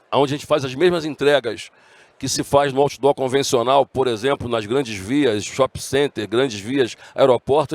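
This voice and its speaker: A man's voice: mid-range voice, dry recording. A middle-aged man with a Brazilian Portuguese accent giving a conference talk, earnest and often restarting his words. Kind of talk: conference speech. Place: conference stage.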